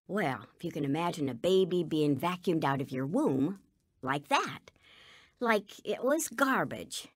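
A woman's voice: lowish voice, animated, measured pace, no room sound. An actress playing a remorseful woman burdened by shame, earnestly telling her life story in a radio drama. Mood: grieving. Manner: earnest, regretful. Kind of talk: dramatized first-person testimony.

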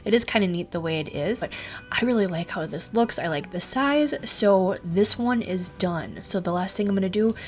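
A woman's voice: medium pitch, moderate pace, faint music behind. A woman hosting a craft tutorial, talking casually with lots of ums and restarts, her voice a little stuffy from a cold. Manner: chatty, rambling, many ums and restarts. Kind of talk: casual screen-recorded tutorial.

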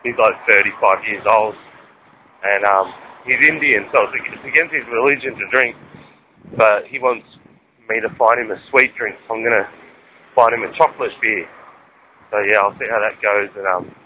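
A young man with an Australian accent rambles through a casual walking vlog, slipping into crude jokes. His voice sits low, and the audio is muffled and lo-fi. Street traffic is clearly audible in the background. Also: steady tone, normal speed, long pauses. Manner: rambling, crude jokey banter. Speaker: vlogger. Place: outdoors on suburban streets. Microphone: handheld camera at arm's length. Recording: lo-fi camera audio with street noise.

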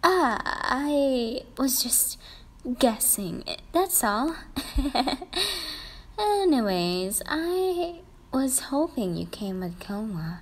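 A woman acts out a catty schoolgirl in a romantic audio roleplay. Her voice is pushed high and a little husky, sugary and condescending, the lovesick rival. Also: big pitch swings, extremely slow, exaggerated voice. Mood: fake sweet, snide. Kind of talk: romantic fan-fiction roleplay.

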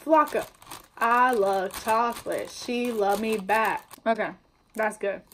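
Young female vlogger, higher pitch, casual slangy patter, recorded at home on the camera mic. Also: slow pace, fairly bright tone, a little hiss or hum. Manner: chatty, upbeat, slangy. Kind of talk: casual baking vlog. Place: indoors at home, kitchen table before a wallpapered wall.

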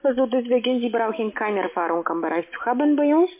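A woman's voice: a little high, expressive, quick pace. A woman working as a call centre agent on a sales call, speaking with non-native grammar. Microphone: telephone. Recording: narrow tinny phone line.

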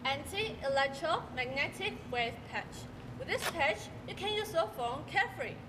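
A woman's voice: high-pitched, animated, measured pace. A young woman performing in a student stage skit, reciting her lines earnestly. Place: large school auditorium stage. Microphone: far stage microphones. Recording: distant, noisy hall recording.

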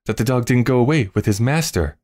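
A man's voice: medium pitch, lively intonation, brisk, darkish tone, voice pushed up, natural voice. A man voicing a timid, eager young apprentice boy in a Victorian story, pleading and chattering deferentially. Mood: excited. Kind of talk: Victorian melodrama storybook character reading.

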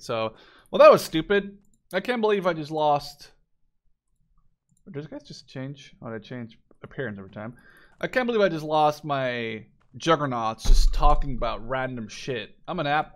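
A man in his twenties giving casual, rambling gameplay commentary, often breaking off mid-sentence and swearing. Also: medium pitch. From Canada or the Netherlands. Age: 20s. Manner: rambling, self-interrupting, sweary. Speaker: gaming video creator.

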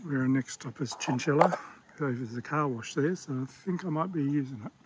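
A man in his fifties with an Australian accent, chatting casually to camera at a forest campsite, relaxed and full of fillers. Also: medium pitch, normal speed, heavy background noise. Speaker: travel vlogger. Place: outdoors in a pine forest campsite. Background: birdsong and bush ambience.

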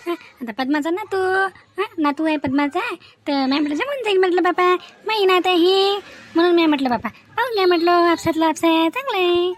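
A woman's voice: extremely high pitch, brisk. A woman voicing a chatty, boastful older village woman in a cartoon comedy, with a rural Vidarbha Marathi accent and folksy, repetitive talk. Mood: persuasive, boastful. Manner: talkative, folksy, repeats herself.

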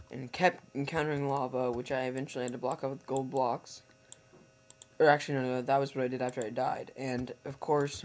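A hoarse teenage boy chatting casually to viewers over gameplay, rambling and correcting himself as he goes. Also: mid-range voice, darkish tone. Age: teens. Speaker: gameplay commentator. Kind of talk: casual let's-play commentary. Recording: noisy home recording with typing.

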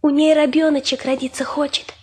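A woman's voice: high-pitched, flat delivery, brisk, darkish tone, close to an everyday voice. An actress playing a frightened, curious little peasant girl in a Soviet radio drama, pleading and naive. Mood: anxious.

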